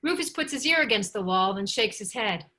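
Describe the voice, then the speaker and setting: Bright-voiced woman narrating a script. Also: medium pitch, expressive, a little fast. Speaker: narrator reading stage directions. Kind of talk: scripted narration at a table read.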